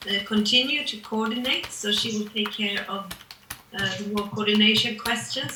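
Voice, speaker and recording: Bright-voiced woman hosting an online meeting. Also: mid-range voice, a little hiss or hum. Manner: halting, many restarts and fillers. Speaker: meeting host and coordinator. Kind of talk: online group meeting, casual. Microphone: video call. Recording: echoey distant video call audio.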